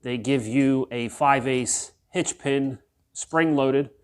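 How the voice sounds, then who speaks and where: A man's voice: mid-range voice, slow, clean tone. A man in his thirties with a congested, nasal voice, casually explaining his truck build as a DIY vlogger in his home garage, rambling with loose fillers. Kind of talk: casual workshop vlog.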